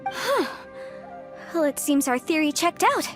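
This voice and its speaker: Young female legal adviser, video game, high animated voice, unhurried, confident, perky, theatrical. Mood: curious, amazed. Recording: game music behind the voice.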